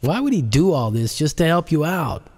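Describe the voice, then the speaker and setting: A playful, prying guy sharing drinks in an audiobook story, performed by a male reader. He teases and probes in a lifted pitch. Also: sing-song, moderate pace, a little mellow, long reverb tail. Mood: nosy, playful. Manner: teasing, probing. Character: nosy, playful male friend drinking tequila.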